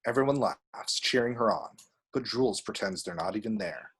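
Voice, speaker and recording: Low, slightly husky man narrating a script. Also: lively intonation, normal speed, a bit bright. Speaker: reader of action descriptions.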